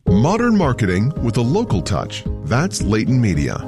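A man's voice: medium pitch, huge pitch swings, moderate pace, over music. A man voicing a scripted radio commercial in a polished announcer delivery.

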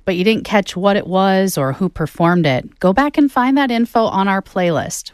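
A woman's voice: on the low side, dry recording, studio quality. A woman classical radio host announcing pieces in a friendly way.

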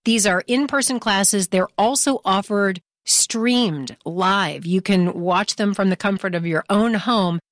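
A woman's voice: mid-range voice, measured pace, hardly any pauses, no room sound. American woman hosting radio, dry studio sound. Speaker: radio show host. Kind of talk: scripted radio hosting and promotion.

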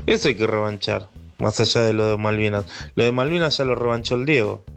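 Low gravelly caller. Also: male voice, steady tone, fairly quick, faint music behind. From Argentina. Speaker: radio caller.

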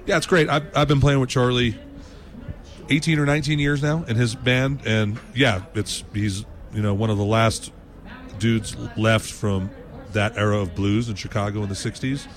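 A man from New England, a blues musician, giving relaxed, rambling answers in a casual interview. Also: on the low side, normal speed.

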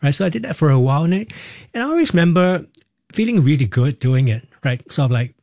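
A mellow, warm-toned man in his fifties with a Singaporean accent speaks as an interview guest. He tells his story candidly and reflectively, in short phrases that often restart. The sound is close and dry, with no room around him. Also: fairly high pitch, sing-song, fast, dry recording. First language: Cantonese. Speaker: interview guest, musical theatre performer.

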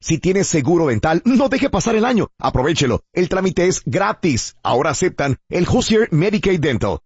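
Male ad announcer, husky and animated, persuasive radio read in a dry, dull booth sound. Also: no echo. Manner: warm, persuasive pitch with slow repeated phone numbers.

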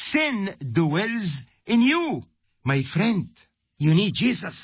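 A man's voice: sits high, breathy edge, no room sound, lo-fi sound. A man from Egypt giving an earnest radio gospel message, speaking straight to the listener. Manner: earnest, direct address to the listener. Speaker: evangelist and ministry host.

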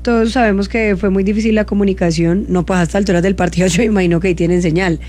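A woman's voice: mid-range voice, steady tone, very fast, warm tone. A woman from Cali with a Colombian accent, chatting casually as a live radio host. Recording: music playing behind the voice. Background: background music.

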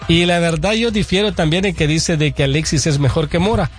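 A man's voice: on the high side, a little fast, over music. A man hosting a sports radio show, reading listener texts aloud and chatting casually with his co-host. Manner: reads messages aloud, easygoing, agreeable fillers.

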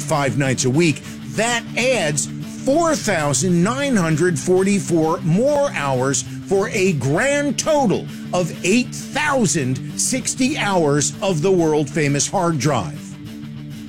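A middle-aged male rock radio host with a raised, showy announcer voice. He reads scripted show intros with wry flair over a clear guitar music bed. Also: on the high side.

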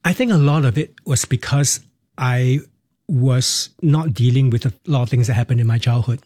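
Mellow middle-aged man, Cantonese speaker. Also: mid-range voice, no echo, clean studio sound. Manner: halting, candid, tags phrases with "right" and "you know". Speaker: interview guest, performer and counselor. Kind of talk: reflective personal storytelling in an interview.

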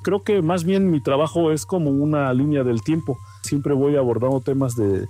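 A man from Oaxaca whose first language is Mazatec, speaking with a Mexican accent as a guest artist in a radio interview, reflective and soft-spoken. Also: fairly high pitch, moderate pace. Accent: Mexican Spanish.

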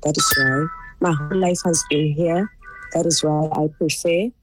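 A woman's voice: deep voice, steady tone, moderate pace, loud music behind the voice, rough recording. A woman who grew up in South Africa, speaking earnestly and repetitively in a remote news interview. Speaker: interview guest, salon worker. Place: remote link.

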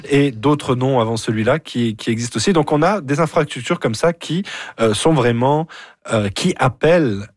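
A husky-voiced man with an accent from France, recorded dry and close. He works as a radio music columnist, talking casually and teasingly, with many digressions. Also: mid-range voice, moderate pace, no echo. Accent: French from France.

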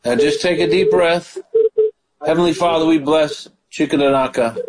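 A man's voice: a little high, moderate pace, crowd noise, rough recording. A man hosting a call-in prayer show as pastor, talking with callers and praying fervently with many hallelujahs. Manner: fervent, prays aloud with hallelujahs. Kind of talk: call-in ministry talk and prayer.

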